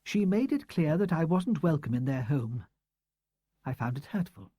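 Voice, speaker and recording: Man doing a stern older schoolmistress, clipped and indignant, raised pitch, warm dark tone, storybook reading. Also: animated, normal speed, breathy edge, no echo, studio quality. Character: proud bitter older female schoolteacher.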